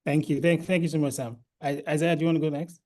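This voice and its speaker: A man's voice: on the high side, steady tone, quick pace, darkish tone. A man moderating a video call discussion who often repeats words as he hands over to speakers. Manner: hesitant, repeats words as he goes. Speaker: moderator of the focus group.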